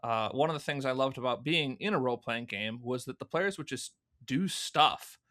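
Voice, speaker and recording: A man's voice: medium pitch, moderate pace, a bit bright. A man in his thirties speaking as an interview guest, telling stories casually and acting out remembered thoughts in asides. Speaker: podcast guest, audio drama producer.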